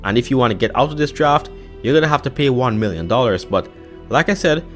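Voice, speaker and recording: A man's voice: medium pitch, lively intonation, fast talker, a bit bright. A man narrating a scripted explainer in a casual, conversational way. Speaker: narrator of a YouTube explainer channel. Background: background music.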